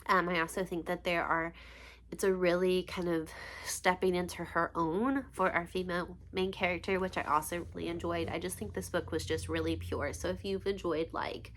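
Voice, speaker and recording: A woman's voice: on the low side, steady tone, normal speed, fairly bright tone, a little hiss or hum. A young woman who is congested and sniffly, chatting casually and gushing about books she read, with many ums and likes. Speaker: book vlogger. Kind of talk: casual book review chat.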